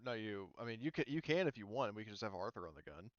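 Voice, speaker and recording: A man's voice: lowish voice, animated, fast talker, no echo, lo-fi sound. A man running an online tabletop game as game master, explaining things informally and a little hesitantly.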